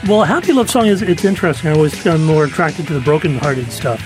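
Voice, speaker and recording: A man's voice: a little high, fast, music in the background. A man co-hosting a radio panel, thinking aloud with hesitant fillers. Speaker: radio show co-host.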